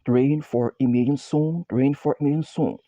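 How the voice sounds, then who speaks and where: A Brazilian man with a Portuguese accent speaking halting English in a slow, repetitive, chant-like monologue. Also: medium pitch, fairly even intonation, mellow, dry recording.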